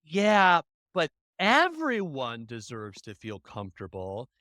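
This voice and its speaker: Bright punchy voice, animated emphatic guest, dry close sound. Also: mid-range voice, no room sound. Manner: animated, emphatic, quick bursts. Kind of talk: conversational interview.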